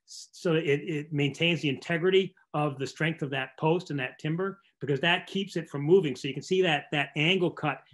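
An older man giving an informal slideshow talk over a video call, explaining in a halting way and often repeating words. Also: on the high side. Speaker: barn restoration contractor giving a lecture. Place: indoor room, on a video call.

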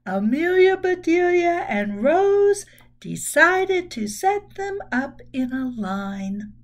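An older woman in her sixties reads a children's book aloud as a storyteller, expressive and deliberate. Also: extremely high pitch. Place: small room at home.

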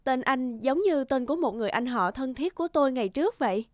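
A woman voicing a calm, friendly female lawyer in a dubbed cartoon. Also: sits high, brisk, no room sound. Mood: gentle. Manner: gentle, friendly.

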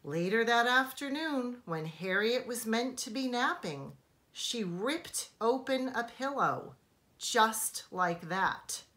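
A woman teacher reading a children's storybook aloud in a deliberate, expressive, emphatic way for young listeners. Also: on the high side.

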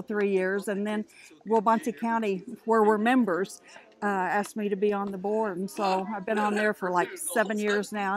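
A woman's voice: medium pitch, rough recording. A woman in her sixties, a county board president, chatting casually and ramblingly outdoors. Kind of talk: casual interview. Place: outdoors by a house.

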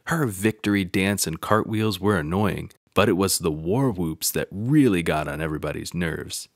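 A man narrating a children's picture book aloud in an expressive storytelling manner. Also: low voice, normal speed, no echo.

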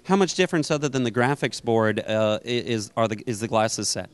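Man, middle-aged, clean resonant voice, average pitch, on-camera presenter, expo interview. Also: lively intonation, a little fast, smooth. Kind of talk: on-camera trade show interview. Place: trade show booth indoors. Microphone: handheld interview microphone.

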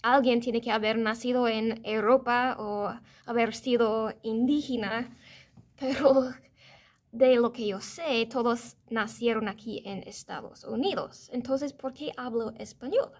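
American woman, 30s, higher voice, casual vlog to camera from her office desk, self-correcting. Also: unhurried. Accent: American English. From United States. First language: English. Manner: chatty, self-correcting, animated hand gestures. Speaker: vlogger. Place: office or classroom, indoors, medium room.

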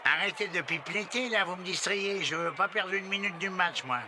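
A man playing an excitable, affectionate football coach in a cartoon, exclaiming and repeating himself. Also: high-pitched, fairly quick, noisy background, close to an everyday voice. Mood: fatherly. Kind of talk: animated cartoon dubbing.

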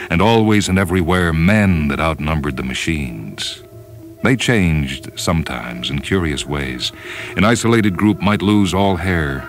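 A man reading a story as a dramatic narrator, with measured, solemn and deliberate phrasing. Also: sits low. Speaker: narrator reading short fiction. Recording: music under the voice. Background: orchestral piano music.

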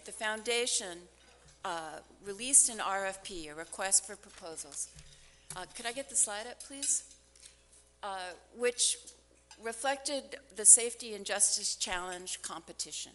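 An older woman gives a prepared keynote speech at a podium, deliberate and stressing key phrases. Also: medium pitch, pushed and bright. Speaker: foundation representative giving a keynote. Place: large hotel ballroom at a conference, on stage. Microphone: podium gooseneck microphone. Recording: hall reverb from conference ballroom PA.

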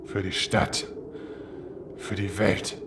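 Man playing a deep, solemn sword fighter. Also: flat delivery, takes it slowly, noisy background. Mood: pleading, grave. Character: noble, defiant male sword fighter, self-sacrificing leader. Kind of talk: German audio drama acting.

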